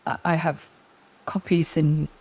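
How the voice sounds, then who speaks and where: A middle-aged woman, a guest in an interview, speaking in a quiet, measured way as she gives advice. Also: low voice, level tone, a little hiss or hum, low-quality recording. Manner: soft-spoken, measured, advice-giving. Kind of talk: interview conversation.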